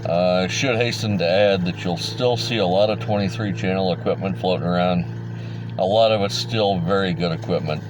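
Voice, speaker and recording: A man's voice: low-pitched, moderate pace, noisy background. A middle-aged man giving an informal product rundown as a hobbyist, in a plain-spoken, folksy, hesitant manner. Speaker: radio hobbyist host.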